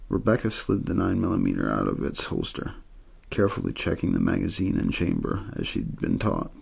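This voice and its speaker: Gravelly, monotone man reading a bedtime story. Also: sits low, fairly quick. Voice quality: husky, gravelly. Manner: plain, untheatrical, soothing reading. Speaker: audiobook-style story reader. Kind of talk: ASMR story reading, scripted narration.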